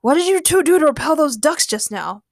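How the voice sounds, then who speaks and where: A woman storyteller voicing a gruff, irritable male fighter in a growling, sarcastic manner. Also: sits high, lively intonation, fairly quick, no echo, studio quality, pitched above the performer's own voice. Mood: urgent.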